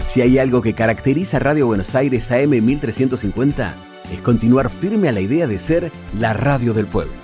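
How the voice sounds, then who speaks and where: A man's voice: medium pitch, expressive, moderate pace. A man with an Argentine accent reading scripted documentary narration as a presenter. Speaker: podcast host narrator. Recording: music bed behind voice. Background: background music.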